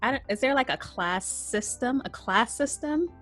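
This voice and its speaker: A woman's voice: fairly high pitch, lively intonation, moderate pace, fairly bright tone, faint music behind. A woman hosting a podcast, asking loose, searching questions in a casual chat. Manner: searching questions with restarts and fillers. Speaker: podcast host.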